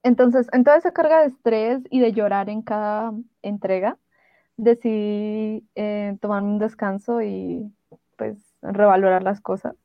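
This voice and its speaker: A woman's voice: mid-range voice, fairly even intonation, measured pace, creaky, no room sound. Young woman, dry lo-fi podcast sound. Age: young adult. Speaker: podcast co-host. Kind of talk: casual podcast chat. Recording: dry, dull lo-fi audio.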